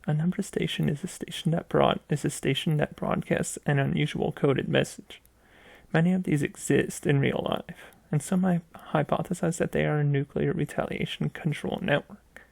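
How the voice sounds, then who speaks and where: A man's voice: fairly high pitch, steady tone, normal speed, creaky, darkish tone, no room sound. A man reading a scripted story aloud as narrator, stumbling now and then and repeating phrases. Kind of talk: scripted narration of a video game mystery story.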